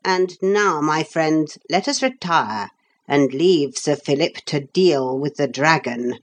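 A woman's voice: low voice, lively intonation, slow, exaggerated voice. A woman voicing a solemn, formal male storybook leader with cold, pompous dignity. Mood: formal, measured. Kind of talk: storybook character voice.

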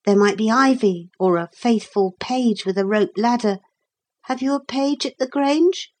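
A storybook schoolboy, played by a woman narrator, proud and standoffish, with a somewhat raised pitch. He talks in curt, brisk, proper phrases, impatient yet grudgingly noble. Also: a little mellow.

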